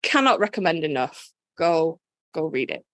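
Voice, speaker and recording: A woman's voice: low-pitched, sing-song, moderate pace, dry recording. A woman co-hosting a podcast, chatting casually and emphatically with informal, sweary phrasing. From Britain. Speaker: podcast co-host. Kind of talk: casual fan discussion chat.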